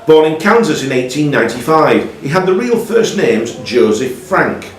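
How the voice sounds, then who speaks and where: A man's voice: fairly high pitch, big pitch swings, moderate pace. A man hosting a live quiz, reading questions aloud to contestants in a roomy pub space. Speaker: quiz master. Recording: roomy, echoey pub room, slightly distant mic.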